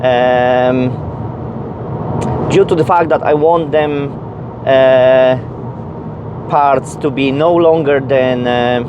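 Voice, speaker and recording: Slow-talking Polish-accented man, noisy background. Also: mid-range voice, steady tone, highs cut off. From Poland. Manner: halting, repeats and rephrases phrases. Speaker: YouTube vlogger. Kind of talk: casual storytelling and chat to viewers.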